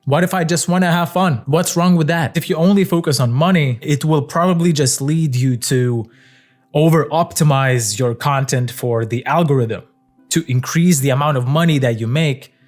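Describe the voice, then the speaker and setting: A young man from Lithuania, speaking English with a Lithuanian accent, talking to camera in a relaxed, reflective monologue that is full of fillers and casual swearing. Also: on the high side, normal speed, faint music behind. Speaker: content creator.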